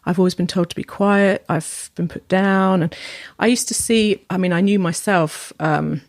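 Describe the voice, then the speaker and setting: Woman, late thirties, lowish voice, thoughtful and unhurried, dry close sound. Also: steady tone, no room sound. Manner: thoughtful, unhurried, frequent ums and restarts. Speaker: sound therapist and former opera singer, podcast guest. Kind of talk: reflective storytelling in a podcast conversation.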